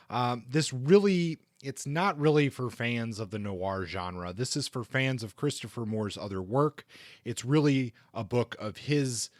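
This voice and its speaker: A man's voice: mid-range voice, dry recording. Man reviewing books, dry close sound. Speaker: podcast co-host. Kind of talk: book review talk on a podcast.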